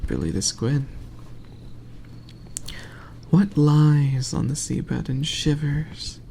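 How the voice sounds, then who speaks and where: A man reading aloud in a soft ASMR bedtime style, hushed and often slipping into a whisper. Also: fairly high pitch, lively intonation, drawn-out words, vocal fry, a little hiss or hum.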